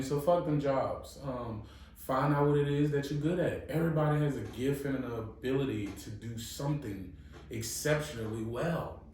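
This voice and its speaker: A man's voice: medium pitch, far from the mic, a little hiss or hum. Blunt New man in echoey room. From New Orleans, Louisiana. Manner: profane, emphatic, motivational. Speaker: podcast co-host. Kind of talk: casual chat, giving blunt advice.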